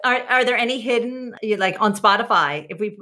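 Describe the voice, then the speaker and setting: A woman's voice: on the high side, a little fast, sharp tone. A woman hosting a casual interview, asking enthusiastic questions with frequent restarts. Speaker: interview host.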